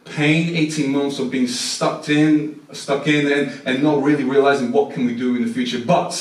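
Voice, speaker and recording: A man's voice: mid-range voice, steady tone, moderate pace. Chatty Portuguese man in an echoey church. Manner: chatty, self-deprecating, many restarts. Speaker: mission team speaker. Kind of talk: informal talk at a church service. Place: church hall. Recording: echoey room, mic far off.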